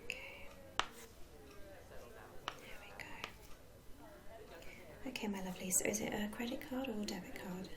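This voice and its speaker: A British woman speaks in a soft, breathy whisper. She plays a receptionist in an ASMR roleplay, using gentle, reassuring customer-service patter with endearments. A clear murmur of people sits behind her voice. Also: medium pitch, unhurried, pauses often, a crowd in the background. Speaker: ASMR performer playing a club receptionist.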